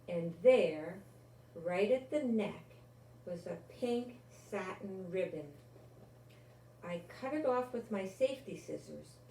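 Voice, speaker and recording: Older woman, grandmotherly storybook reader, unhurried and expressive, recorded in a roomy space. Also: fairly low pitch. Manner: slow, deliberate, expressive storytelling. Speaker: grandmother reading a children's book aloud. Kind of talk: storybook read-aloud with asides. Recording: roomy, distant microphone, faint background noise.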